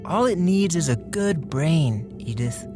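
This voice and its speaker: A woman's voice: low-pitched, expressive, slow, music in the background. A woman playing a stubborn, bedridden male dreamer in an audio drama, earnest and insistent. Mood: longing.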